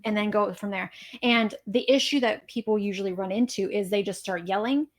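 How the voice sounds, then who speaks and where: A woman's voice: medium pitch, brisk. Young woman therapist guest, explains patiently, acts out little examples. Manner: explanatory, acts out example dialogue, frequent affirmations. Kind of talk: casual interview.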